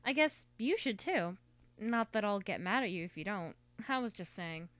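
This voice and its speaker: A feisty teenage girl played by a woman chats away in an amateur voice drama. She is friendly but quick to flare, her voice clear and dryly recorded. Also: medium pitch, expressive, fairly bright tone, dry recording, lightly acted. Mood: friendly, awkward. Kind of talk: amateur animated drama acting.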